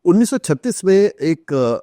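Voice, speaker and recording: A man's voice: high voice, big pitch swings, measured pace, dark tone, no echo, clean studio sound. A man with an Indian accent explains and tells anecdotes in conversation as an interview guest, emphatically, repeating phrases, asking rhetorical questions and slipping into English. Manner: mixes Hindi with English phrases.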